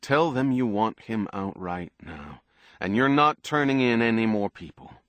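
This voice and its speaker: A man voicing a world-weary, cautious man who is blunt yet protective, in measured, quietly firm audiobook dialogue. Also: on the low side, animated, dry recording. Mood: gently firm.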